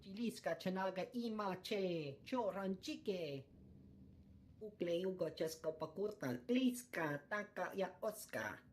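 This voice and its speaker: A woman's voice: on the low side, animated, unhurried, a little mellow. A woman voicing a pushy alien who speaks an invented tongue in a video game. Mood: insistent.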